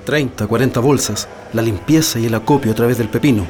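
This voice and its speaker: An actor playing a calm, methodical leader of political prisoners in a radio drama, with a Chilean accent and a measured, reassuring delivery. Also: male voice, medium pitch, slight background noise, close to an everyday voice. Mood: methodical, firm. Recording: music behind the voice.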